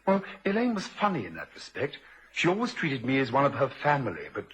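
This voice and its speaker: A man's voice: medium pitch, huge pitch swings, fast, a bit bright, husky, heavily acted. A man playing a shaken, confiding witness in a vintage radio crime drama, speaking with some hesitation. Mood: confiding, reflective. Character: shaken man, kid-brother confidant of a dead woman.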